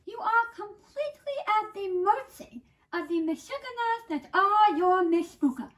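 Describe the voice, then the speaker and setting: A woman performs a comic monologue with theatrical playfulness. Her voice is extremely high, and she takes her time over the phrases.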